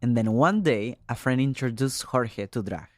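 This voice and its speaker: A man's voice: mid-range voice, lively intonation, moderate pace, dry recording. A man hosting and reporting a podcast, reading scripted first-person narration. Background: music.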